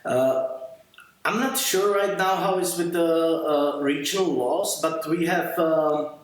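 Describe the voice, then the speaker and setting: A man from Moravia with a Czech accent, giving interview answers in halting, non-native English as he searches for words. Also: on the high side. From Moravia, Czech Republic. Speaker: filmmaker being interviewed. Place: remote video call from a living room. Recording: very roomy, echoey video call.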